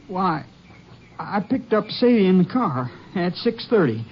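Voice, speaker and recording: An actor in a 1950s radio drama playing a frightened teenage farm boy with an anxious, breathy voice. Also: sits high, sing-song, a little slow, a little hiss or hum, exaggerated voice. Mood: worried. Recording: dull lo-fi old radio recording.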